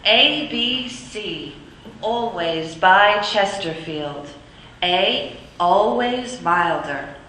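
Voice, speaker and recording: A woman reads a vintage radio commercial very slowly, in a crisp, rhythmic cadence. Her tone is bright and her pitch is middling. The sound is echoey, with faint noise behind it. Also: lively intonation. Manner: slow, crisp, rhythmic delivery. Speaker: announcer. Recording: echoey old radio broadcast.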